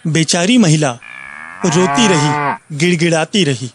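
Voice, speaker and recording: A man's voice: fairly high pitch, measured pace, noisy background. A man narrating a scripted moral story as a storyteller.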